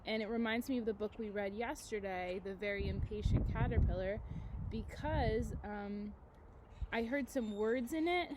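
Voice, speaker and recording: A woman's voice: medium pitch, a little mellow, slight background noise. A woman teacher reading a picture book aloud to children, expressively, with chatty asides and questions to her young listeners.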